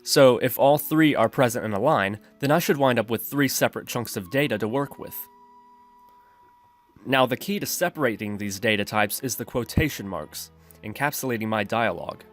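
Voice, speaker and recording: A man's voice: mid-range voice, normal speed. A man narrating an instructional screencast as a tutorial host, explaining methodically step by step. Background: background music.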